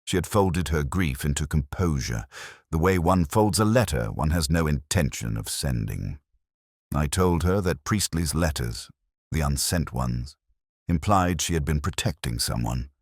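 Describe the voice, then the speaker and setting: A man voicing a reflective police inspector who narrates his case in the first person, measured and literary. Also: a bass voice, animated, no room sound, studio quality, full character voice. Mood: brooding. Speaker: detective narrator. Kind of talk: scripted first-person radio-drama narration.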